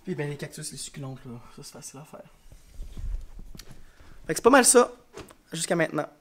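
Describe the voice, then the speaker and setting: Man, Quebec French accent, congested, slightly high voice, tired rambling vlog chat, classroom, camera mic. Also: lively intonation, brisk, slight background noise. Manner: chatty, rambling, tired and frank. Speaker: elementary school teacher. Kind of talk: casual vlog talk to camera.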